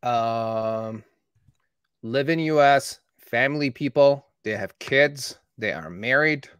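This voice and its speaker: A man in his thirties, a marketing agency owner from Canada who was born in Iran, telling his story in steady, matter-of-fact conversation. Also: mid-range voice, takes it slowly, clear, resonant tone, no echo, studio quality. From born in Iran, raised in Canada. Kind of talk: casual conversation.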